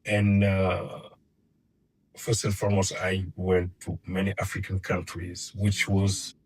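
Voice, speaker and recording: Low-voiced man, husky and halting. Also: monotone, rough recording. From Senegal. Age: middle-aged. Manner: hesitant, repeats words while searching for them. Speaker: guest filmmaker. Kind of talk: casual interview.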